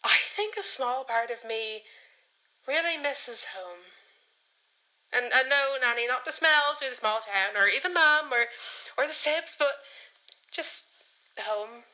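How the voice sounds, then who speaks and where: Actress as piercingly bright young Irish granddaughter. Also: female voice, sits high, measured pace, pauses often, rough recording. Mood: wistful, confiding. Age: young adult. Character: cheeky, confessional young Irish granddaughter living abroad. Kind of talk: radio comedy-drama voicemail monologue.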